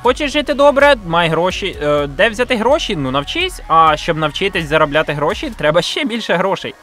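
A man's voice: high voice, sing-song, fairly quick, sharp tone. A man from Ukraine narrating a scripted video review in a blunt, sardonic, self-deprecating way, with slang and swearing. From Ukraine, grew up in a village. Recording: music under the voice. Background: background music.

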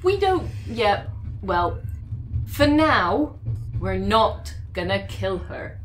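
A woman chatting as a player at a game table, brisk and practical, her pitch swinging up and down freely. A faint hum of noise sits in the background. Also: big pitch swings, a bit bright. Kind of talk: casual tabletop game chat.

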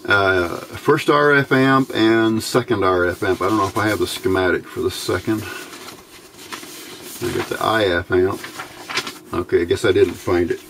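A middle-aged American man giving an informal, unscripted technical explanation in a plain-spoken, folksy, halting way, recorded on a camera microphone at a workbench. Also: deep voice, vocal fry. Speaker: electronics hobbyist presenter. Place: indoors at a workbench. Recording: noisy home-made camera audio.

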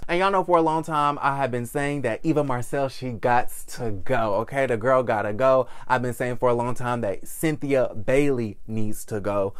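A young man hosting a celebrity gossip vlog, sassy and animated, seated in a small room. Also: mid-range voice, normal speed, few pauses, clean tone. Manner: sassy, animated, lots of "okay?" asides. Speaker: host. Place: small indoor room with curtains.

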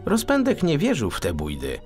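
A man narrating a children's fairy tale as an expressive audiobook storyteller. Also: fairly high pitch, normal speed, over music.

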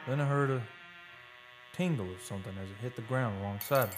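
Man playing haunted oilfield worker, halting folksy storyteller, suspenseful radio drama, music behind. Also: medium pitch, lightly acted, low-quality recording. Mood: uneasy. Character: haunted male oil driller recounting a terror. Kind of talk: live old-time radio drama monologue. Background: live dramatic music.

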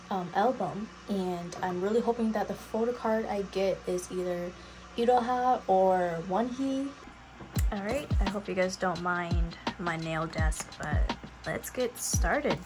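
A woman's voice: mid-range voice, poor audio. A young adult woman chatting casually as a vlogger, with a girlish, gushing way of talking. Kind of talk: casual lifestyle vlog chat. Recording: background music under vlog audio. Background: music.

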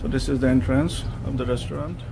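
A man's voice: mid-range voice, fairly even intonation, fast, creaky. A man filming a casual travel food vlog with a handheld camera, walking through restaurants and praising the food in simple, friendly words. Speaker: travel vlogger. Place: restaurants and streets, indoors and outdoors. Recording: noisy handheld camera audio.

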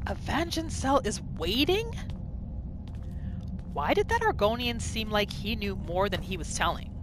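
A woman's voice: mid-range voice, big pitch swings, a little slow, background noise. A woman giving reactive gameplay commentary, exclaiming and thinking aloud as she plays through a roleplay. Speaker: gamer narrating a roleplay playthrough.

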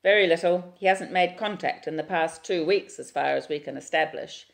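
A woman voicing a calm, official male police officer who speaks briskly and reassuringly. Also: on the low side, gravelly, pitched above the performer's own voice.

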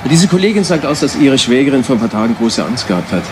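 A man's voice: mid-range voice, sing-song, fast talker, background noise, natural voice. A male actor playing a courteous, businesslike junior police detective in a German crime radio play. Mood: politely insistent.

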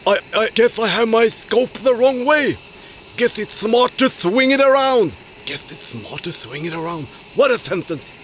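A man voices a clumsy cartoon sidekick from a fairy tale, full of goofy bravado. The voice is high and bright and swoops around a lot. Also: expressive, unhurried, a little hiss or hum. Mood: flustered, clumsy. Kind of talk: animated fairy-tale character dialogue.

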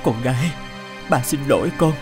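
A gentle young father from a cartoon, played by a man, with a mid-pitched voice. He speaks softly and earnestly over music. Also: animated, normal speed, natural voice. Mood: tender, remorseful. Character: gentle young postman father, weighed by grief. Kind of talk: cartoon dubbing. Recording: music behind.

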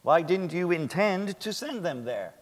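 A man's voice: sits high, lively intonation, unhurried. A man playing a sceptical defence attorney, formal and pressing, in a dramatic stage reading of a trial. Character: insistent, sceptical male defence attorney cross-examining. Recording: echoey theatre stage, long reverb.

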